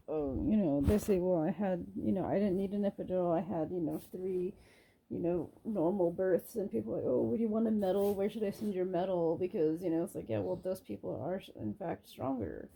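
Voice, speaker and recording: A woman's voice: fairly low pitch, vocal fry, warm tone, a little hiss or hum, rough recording. A woman vlogger chatting casually about faith with her partner, opinionated and emphatic, often saying "like". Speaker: vlogger and mother. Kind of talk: casual religious chat with partner.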